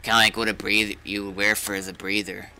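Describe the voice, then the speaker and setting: Guy in game roleplay chat, really bright forceful tone, short halting phrases, faint noise. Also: on the low side, flat delivery, creaky, poor audio. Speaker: gamer, roleplay companion.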